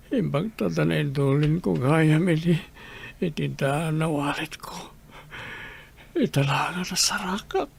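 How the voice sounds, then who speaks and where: An ailing, weary man in a radio drama. His voice is heavily creaky and husky, a little high, and he drags his words out slowly as he pleads. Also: exaggerated voice. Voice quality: gravelly, husky. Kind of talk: Ilocano radio drama acting.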